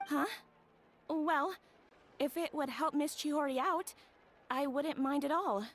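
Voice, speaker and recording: A woman's voice: sits high, slow pace, soft background music. A young woman playing a sweet, humble, grateful bride-to-be in a video game. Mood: grateful, eager.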